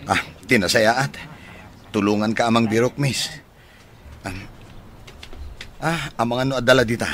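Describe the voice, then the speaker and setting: An actor with an Ilocano Filipino accent playing an eager, polite, smitten bachelor in a radio comedy drama. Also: male voice, mid-range voice, a little slow, pauses often, a bit bright, close to an everyday voice. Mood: apologetic, helpful.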